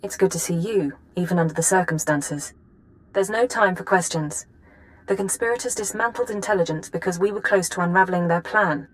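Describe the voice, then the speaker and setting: A woman's voice: on the low side, brisk, close to an everyday voice, low-quality recording. A woman playing a composed but urgent intelligence analyst in a video game, speaking in a precise, businesslike way. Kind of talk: scripted video game acting.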